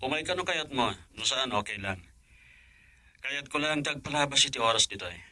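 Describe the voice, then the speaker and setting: A man's voice: mid-range voice, expressive, moderate pace, bright, forceful tone, dry recording. A young man with an Ilocano accent playing a troubled friend who talks in a subdued, brooding way in a radio drama.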